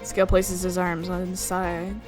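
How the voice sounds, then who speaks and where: A woman doing expressive let's play commentary, mixing dramatic reading of a visual novel with casual, reactive asides. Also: on the low side, moderate pace. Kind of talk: game commentary mixed with dramatic read-aloud. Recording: music playing behind the voice.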